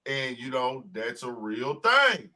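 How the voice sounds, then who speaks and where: A man hosting a podcast in casual chat, talking in a profane, emphatic, animated way. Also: medium pitch, slow. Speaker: podcast host.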